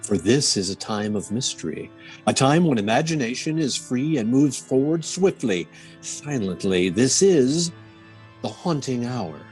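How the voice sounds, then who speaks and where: A man delivering spooky scripted radio narration as announcer, in a dramatic, measured way. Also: mid-range voice, lively intonation, a little mellow, faint music behind.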